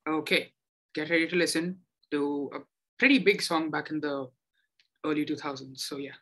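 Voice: mid-range voice, steady tone, moderate pace, noisy background. A person chatting informally on a video call, introducing a song they are sharing. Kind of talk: casual video call chat.

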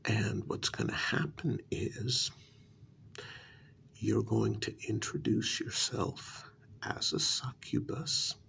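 A man playing a flustered, apologetic talent agent in an ASMR role-play, speaking softly in a breathy, gravelly half-whisper with hesitant phrasing. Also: lowish voice, a little hiss or hum, full character voice. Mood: persuasive, gentle. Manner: slow, hesitant, halting. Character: apologetic, easily flustered male talent agent for supernatural creatures.

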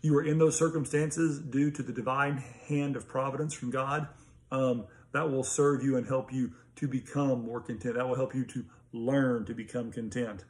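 Male pastor, thirties, American accent, mid-range voice. Earnest, teacherly, informal to-camera sermon recap with some halting pauses. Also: medium pitch, steady tone, a little mellow. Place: small home study with bookshelves, indoors. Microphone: phone or laptop at arm's length.

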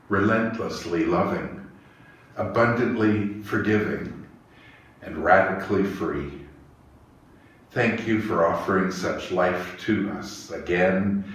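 Echoey, low-voiced minister, a man. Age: middle-aged. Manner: slow, measured, reverent delivery. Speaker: church minister leading worship. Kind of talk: reading poetry and prayer in worship. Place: small church chapel, indoors. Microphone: lapel microphone. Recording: echoey room, distant, faint hiss.

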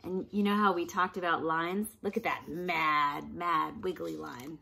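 A woman in her thirties reading a picture book to children at home, expressive and asking them questions. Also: low-pitched, unhurried, creaky, breathy edge. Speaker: art teacher reading aloud. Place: small room at home.

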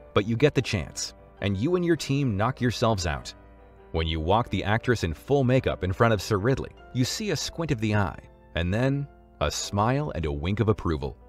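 A man reading scripted documentary narration with background music. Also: lowish voice. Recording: music under the voice.

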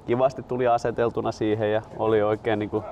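A man's voice: fairly low pitch, flat delivery, darkish tone, highs cut off. A man in his twenties hosting, moving between presenter-style announcements and casual chat. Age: 20s. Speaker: host.

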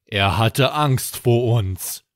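Big male plush bear, played by a man, good-natured, slow, dry storybook recording. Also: mid-range voice, no echo. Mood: ponderous.

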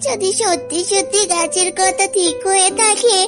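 A woman's voice: very high-pitched, steady tone, normal speed. A woman voicing an eager little fox girl in a children's cartoon, pleading in a wheedling singsong. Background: children's music.

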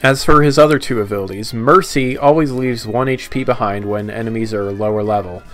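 A man giving casual, halting commentary on a video game, his phrases often trailing off. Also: on the low side. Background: video game music.

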